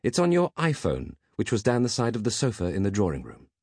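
A man's voice: lowish voice, wildly swooping pitch, fairly quick, a little mellow, breathy edge, dry recording, studio quality, pitched above the performer's own voice, heavily acted. A man voicing a faithful robotic butler in an audiobook, formal, polite and patient, with a metallic chirp. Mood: dutiful. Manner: polite, deferential, unflappably patient.

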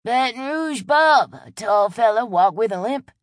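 A woman's voice: on the high side, expressive, unhurried, dry recording, clean studio sound, pitched above the performer's own voice. A woman voicing a friendly old Cajun man with a folksy Louisiana drawl in an audiobook. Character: friendly old Cajun man, weathered bait-shop regular. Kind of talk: audiobook character voice.

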